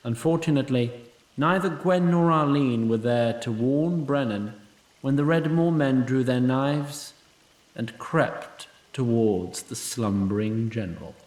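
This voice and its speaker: A man narrating a fantasy audio drama from a script in a measured, dryly told storytelling style. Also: medium pitch, darkish tone. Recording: echoey, music behind.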